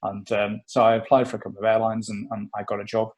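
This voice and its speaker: A man's voice: lowish voice, little pitch movement, fast talker. A middle-aged man from South Wales with a South Welsh accent, chatting as a podcast guest, self-deprecating and full of fillers. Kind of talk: casual interview chat.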